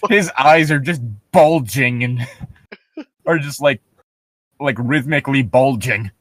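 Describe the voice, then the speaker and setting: A man's voice: mid-range voice, takes it slowly, fairly bright tone, no room sound. A man co-hosting a comedy show, casually reading and riffing in a sardonic, exasperated, sweary way. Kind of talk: casual riffing while reading horror stories aloud.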